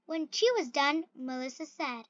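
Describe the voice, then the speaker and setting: A reader with an expressive, carefully phrased delivery, reading a children's picture book aloud. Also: sits high, a little slow, no echo, muffled recording. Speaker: story reader.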